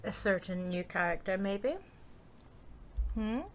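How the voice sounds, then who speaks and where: A woman's voice: medium pitch, steady tone, takes it slowly, a little hiss or hum. A woman hosting a casual game playthrough, reacting aloud in a playful way. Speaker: gaming video host.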